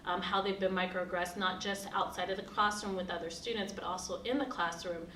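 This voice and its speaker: A woman who is a university diversity officer, explaining in a measured documentary interview. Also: mid-range voice, fairly even intonation, pushed and bright, reverberant, distant mic, a little hiss or hum.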